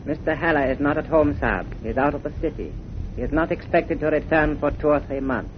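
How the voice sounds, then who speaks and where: A man's voice: on the high side, brisk, highs cut off, close to an everyday voice. Man playing stiff, guarded servant, noisy old radio. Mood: formal, guarded. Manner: stiff, formal, clipped courtesy. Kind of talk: 1940s radio drama acting.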